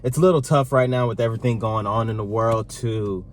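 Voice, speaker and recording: A man in his thirties co-hosting a casual sit-down Q&A with his partner, talking in a relaxed, rambling way. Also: on the low side, brisk, slight background noise. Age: 30s. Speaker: vlog co-host.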